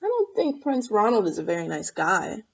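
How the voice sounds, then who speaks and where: A woman's voice: mid-range voice, wildly swooping pitch, moderate pace, creaky, breathy edge, lo-fi sound. A woman reading a picture book aloud to children expressively, with asides and questions for the listeners. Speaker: storybook reader.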